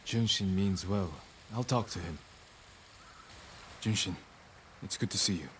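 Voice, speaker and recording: An actor playing a solemn, resolute samurai lord in a video game drama, speaking with restraint. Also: male voice, sits low, unhurried, fairly bright tone, a little hiss or hum. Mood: measured, calm. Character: solemn, resolute male samurai lord, vengeful yet troubled. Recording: music behind the dialogue.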